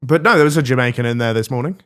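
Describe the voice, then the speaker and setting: A young man hosting a comedy podcast, deadpan with frequent giggles. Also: medium pitch, very fast. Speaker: host. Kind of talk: casual comedy podcast chat.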